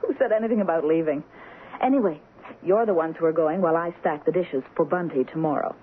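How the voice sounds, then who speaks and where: A woman playing a brisk, affectionate but guarded young American wife in a 1970s radio drama. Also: low voice, natural voice. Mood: light, teasing. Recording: muffled, hissy old radio broadcast.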